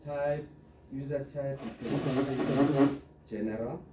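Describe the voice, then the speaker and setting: A man giving an informal step-by-step software tutorial, instructing and often checking in with the listener. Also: medium pitch, steady tone, slow. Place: indoor room, filming a computer monitor. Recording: roomy echo, distant mic, clear background noise.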